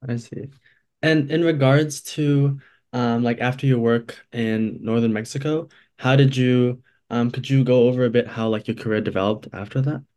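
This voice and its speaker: A man's voice: mid-range voice, fairly even intonation, moderate pace, darkish tone. A young man, a university student hosting a podcast, reading an intro and then asking eager, hedging questions full of "like". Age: young adult.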